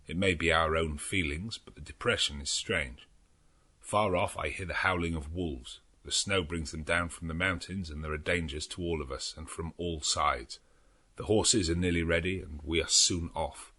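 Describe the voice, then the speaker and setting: A male narrator performs a sombre English doctor in a gothic audiobook. The voice is low and husky. His diary reading is measured, grim and foreboding. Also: pushed and bright.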